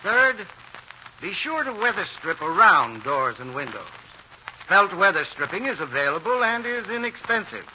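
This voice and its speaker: Man as high, sharp-voiced 1940s radio expert. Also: big pitch swings, heavily acted. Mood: earnest. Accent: American. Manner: formal, measured, emphatic. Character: earnest male heating expert advising householders. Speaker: heating expert giving sponsor's advice. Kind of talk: 1940s radio advertising, scripted. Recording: muffled, hissy 1940s radio recording.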